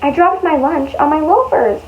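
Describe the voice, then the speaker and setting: A woman reading a picture book aloud to young children in an expressive way, with exaggerated emphasis and drawn-out words. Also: high-pitched. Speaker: children's storyteller. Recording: dull lo-fi sound with faint noise.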